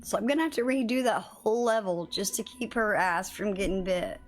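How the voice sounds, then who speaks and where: A woman's voice: medium pitch, fairly quick. A woman livestreaming a game, chatting casually with viewers and swearing a little; her voice is slightly worn, as if she is losing it. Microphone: phone.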